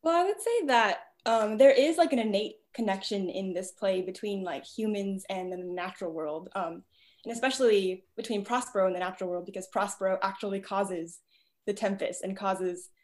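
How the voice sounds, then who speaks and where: A woman's voice: medium pitch, moderate pace. A woman speaking casually as a sound designer on a discussion panel.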